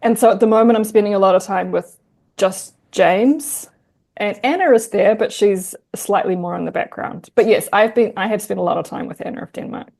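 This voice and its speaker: A woman with a New Zealand accent, a guest historian chatting in an interview, lively and quick to laugh. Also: medium pitch, vocal fry. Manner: chatty, animated, laughs easily. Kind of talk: casual interview conversation.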